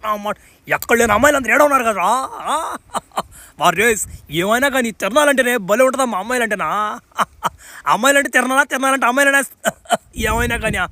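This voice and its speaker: A man's voice: very high-pitched, fast talker, bright, forceful tone, no echo. A man voicing a brash, teasing, foul-mouthed young man in a cartoon comedy. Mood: excited, nosy. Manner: cocky, slangy, foul-mouthed.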